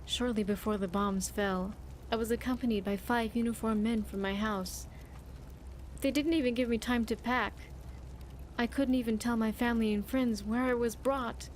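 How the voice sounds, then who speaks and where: A woman's voice: a little high, steady tone, moderate pace, a little mellow, a little hiss or hum, natural voice. A woman voicing an earnest, wistful vault survivor companion in a game, speaking in formal, reflective monologue. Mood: wistful, sorrowful. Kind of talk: scripted game dialogue, confiding monologue.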